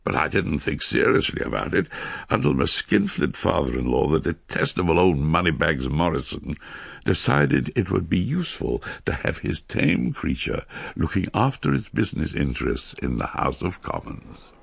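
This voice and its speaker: A man's voice: a bass voice, sing-song, normal speed, gravelly, slightly rough, no room sound, heavily acted. An actor playing a shameless old Victorian soldier with an English accent, recounting his memoirs in a roguish, boastful, cynical way in a radio drama. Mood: wry, boastful. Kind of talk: dramatised first-person memoir narration.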